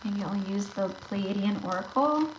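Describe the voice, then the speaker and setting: A woman hosting a casual tarot livestream, chatty and rambling, talking straight to her viewers, with her voice going slightly raspy. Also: mid-range voice, lively intonation, measured pace, very noisy.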